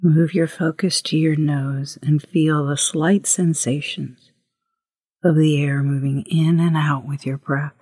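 A woman leading a guided meditation as host, with a hushed, breathy voice and gentle, unhurried instructions. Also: sits low, steady tone, dry recording. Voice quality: breathy, husky, often near whisper.

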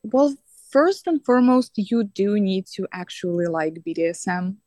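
A woman's voice: mid-range voice, expressive, moderate pace, a little mellow, no echo. Woman, dry sound. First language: Russian. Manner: earnest, slightly non-native phrasing with fillers. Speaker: guest, coach and teacher. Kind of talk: casual podcast interview.

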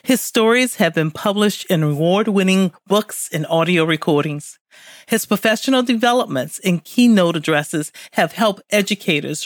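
A woman's voice: mid-range voice, no echo, clean studio sound. A woman hosting an interview, reading a scripted introduction of her guest and then asking him questions. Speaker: host.

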